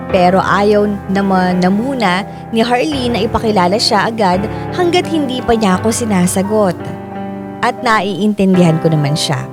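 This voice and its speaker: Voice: medium pitch, lightly acted. A narrator giving a scripted first-person account in a Filipino radio drama.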